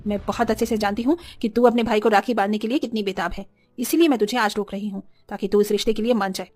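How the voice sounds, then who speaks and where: A scheming, scolding village mother from a horror cartoon, played by a woman. She talks quickly and presses every point insistently. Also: medium pitch, fairly even intonation, darkish tone, close to an everyday voice. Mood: insistent, angry. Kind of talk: animated horror story dialogue.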